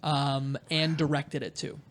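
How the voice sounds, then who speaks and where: A man's voice: a little high, level tone, measured pace, slight background noise. A man hosting a podcast, guiding a casual review conversation. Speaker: podcast host.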